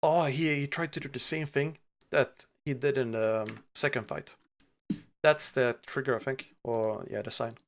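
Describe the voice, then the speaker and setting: A young man from Sweden with a Swedish accent, casually reviewing a match replay as an analyst. He thinks aloud, pausing often with fillers. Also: mid-range voice, steady tone. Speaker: esports analyst.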